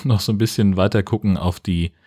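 A man's voice: lowish voice, fairly even intonation, brisk, mellow, breathy edge. A man co-hosting a casual film podcast in a wry, conversational way. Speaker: podcast co-host.

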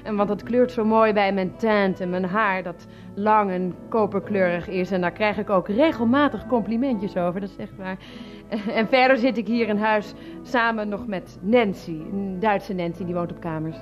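An actress playing a vain, chatty middle-aged wife in a radio play, sounding pleased with herself. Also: female voice, medium pitch, normal speed, natural voice. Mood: self-satisfied. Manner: self-satisfied, chatty. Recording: dull lo-fi radio recording, faint music.

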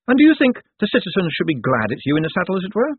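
A man voicing a sharp, sceptical, persistent woman newspaper reporter in an audiobook, with pointed questions in a raised voice. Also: high-pitched, wildly swooping pitch, rapid-fire, husky, dry recording, pitched above the performer's own voice, exaggerated voice. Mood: sceptical, needling. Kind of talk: audiobook character voice. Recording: thin phone-like narrow-band audio.

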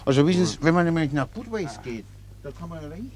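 An actor playing a naive, genial, imperturbable soldier in a German radio play, guileless, unhurried and obliging. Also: male voice, fairly high pitch, lively intonation, darkish tone, close to an everyday voice. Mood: placid, obliging.

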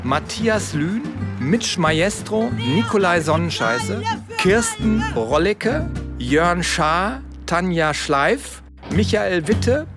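High, slow male announcer over loud music. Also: sing-song. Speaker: credits announcer. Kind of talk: scripted radio play credits.

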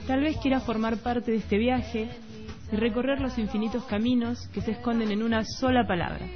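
A woman's voice: a little high, fairly even intonation, normal speed. Argentine woman on dull lo-fi radio, music behind. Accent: Argentine Rioplatense. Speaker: radio co-host. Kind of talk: literary radio talk with poetic narration and read quotes. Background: background music.

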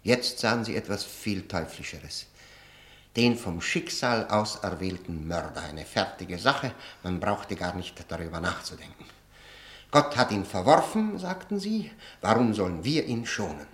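An actor in a German radio play playing a bitter, ironic, educated convict who speaks deliberately and pauses for effect. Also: male voice, lowish voice, big pitch swings, a bit bright, reverberant. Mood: bitter, accusing.

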